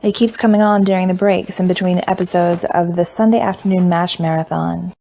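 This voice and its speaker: Mellow woman narrating an audiobook. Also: on the low side, fairly even intonation, fairly quick, creaky, a little hiss or hum. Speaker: fan fiction reader.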